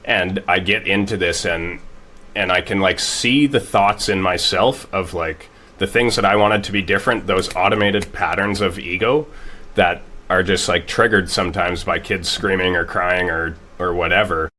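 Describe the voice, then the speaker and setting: A man hosts an interview conversation and circles around his question with fillers and restarts. His voice is very low. It also has a bright, forceful edge. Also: steady tone, normal speed, a little hiss or hum. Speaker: host.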